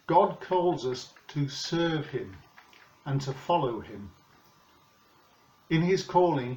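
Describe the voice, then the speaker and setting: A man in his sixties with a British accent, giving a calm, measured, earnest sermon from his living room. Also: medium pitch. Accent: British English. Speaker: preacher. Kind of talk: recorded home sermon. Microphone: camera in front of him, seated. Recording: roomy, slightly dull home recording.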